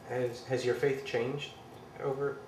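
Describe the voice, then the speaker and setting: A man's voice: medium pitch, flat delivery, takes it slowly, a little mellow. A man interviewing someone, asking brief, hesitant questions. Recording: roomy, distant mic, background noise.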